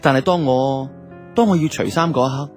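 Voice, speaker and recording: A man's voice: medium pitch, a little fast, lightly acted. A man with a Hong Kong Cantonese accent playing a laid-back young man who narrates his love life in a reflective, colloquial way for a radio drama. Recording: music under the voice.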